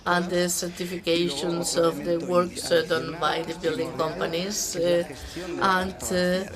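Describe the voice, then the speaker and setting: A woman interpreting a conference talk into English, speaking haltingly with many drawn-out "uh" fillers between words. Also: fairly low pitch, level tone, a crowd in the background.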